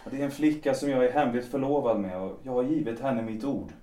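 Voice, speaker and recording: A young actor voicing Erland, an evasive young lover in an audio drama who excuses himself and then begs. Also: male voice, medium pitch, warm tone, close to an everyday voice. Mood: explaining. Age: young adult. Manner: evasive, self-excusing, bursts of pleading. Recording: roomy echo, off-mic distance.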